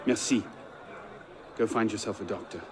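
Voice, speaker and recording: An actor playing a serious, wary young former assassin in a dramatic video game cutscene. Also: male voice, on the low side, normal speed, background noise, lightly acted. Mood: alert, brisk. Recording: loud music and street crowd behind.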